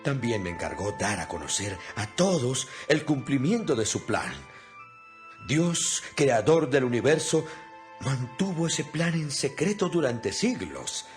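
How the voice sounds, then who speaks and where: A man's voice: mid-range voice, very animated, measured pace. A man playing an imprisoned apostle in a dramatized scripture reading, speaking solemnly and earnestly with emphasis. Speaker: Bible narrator. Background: music.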